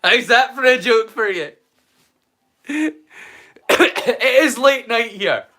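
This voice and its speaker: A man's voice: very high-pitched, animated, slow pace, bright, forceful tone. A Scottish man chatting casually on a live stream as a storyteller, rambling and swearing freely.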